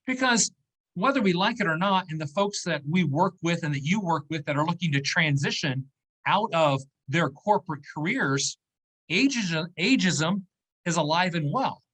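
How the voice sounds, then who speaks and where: A man's voice: a little high, expressive, normal speed, a bit bright, poor audio. Man in his fifties explaining things. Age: 50s. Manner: explanatory, checks in with "okay?" and "right?". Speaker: interview guest, business owner. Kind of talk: conversational interview.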